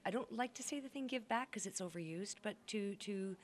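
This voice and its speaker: A woman's voice: medium pitch, fairly quick, slight background noise. A woman over sixty, a grandmother and actor, chatting as an interview guest and telling stories with acted-out quotes. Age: older. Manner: chatty storyteller, acts out quotes. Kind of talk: casual interview chat.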